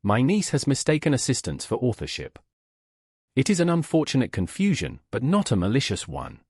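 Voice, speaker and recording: Man voicing a controlling, condescending older gentleman. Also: medium pitch, wildly swooping pitch, fairly quick, slightly rough, no room sound, studio quality. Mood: smoothly paternal. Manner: formal, condescending, commanding. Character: controlling, smooth older gentleman uncle of Regency England.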